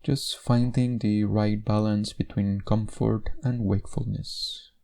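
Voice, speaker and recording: Low, mellow man guiding a meditation. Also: level tone, vocal fry. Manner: measured instructional delivery with gentle pauses. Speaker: meditation guide. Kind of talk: guided meditation narration.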